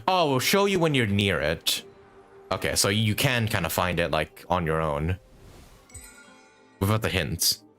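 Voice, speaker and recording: A man livestreaming a game with casual commentary, halting and often interrupting himself. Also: low voice, sing-song, fairly bright tone, faint music behind. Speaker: game streamer.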